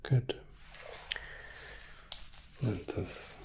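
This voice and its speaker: A man in his thirties doing a soft ASMR roleplay, hushed, polite and hesitant, close to the microphone. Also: extremely slow, noisy background. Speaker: ASMR roleplay performer, playing a royal sculptor.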